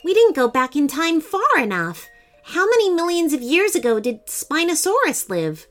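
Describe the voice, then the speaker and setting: A woman's voice: sits high, very animated, normal speed, faint music behind, pitched above the performer's own voice. A woman voicing a curious, enthusiastic young boy with a polite, questioning manner in a children's story. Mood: worried.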